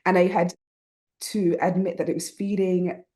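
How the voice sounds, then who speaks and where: A middle-aged Barbadian woman with a lowish, warm and mellow voice. She talks earnestly and reflectively, often ending thoughts with "right?". Her manner is candid and conversational. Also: flat delivery, moderate pace. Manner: earnest and reflective, with frequent "right?" and soft laughs. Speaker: guest, writing program founder.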